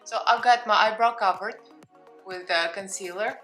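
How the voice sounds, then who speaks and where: A woman's voice: mid-range voice, normal speed, fairly bright tone, soft background music. A woman with a Russian accent, a makeup vlogger talking through a tutorial in a casual, candid, self-mocking way.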